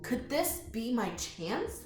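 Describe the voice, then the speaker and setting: A woman reading a picture book aloud to children in an expressive, inviting way, with friendly chatty asides. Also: a little high, slow, faint music behind. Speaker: children's storytime reader. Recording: roomy echo.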